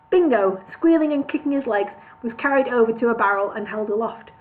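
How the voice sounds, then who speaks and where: A woman's voice: fairly high pitch, normal speed. A woman reading a comic fantasy novel aloud on a livestream, adding chatty asides. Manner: reads with asides, laughs at comments. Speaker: audiobook reader. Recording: tinny phone-like sound.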